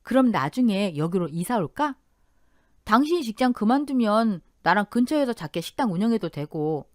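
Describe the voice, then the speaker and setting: A woman's voice: medium pitch, sing-song, normal speed, a little mellow, no echo, studio quality, natural voice. A woman playing a chatty, earnest young married daughter in radio story dialogue. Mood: excited, hopeful.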